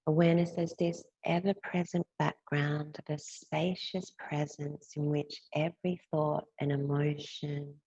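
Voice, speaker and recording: A woman with a low, gravelly voice leads a guided meditation. She speaks softly and soothingly as a teacher, lingering between phrases. The recording is close and dry, though slightly dull. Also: no room sound. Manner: gentle, unhurried, with long pauses between phrases. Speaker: yoga nidra meditation teacher.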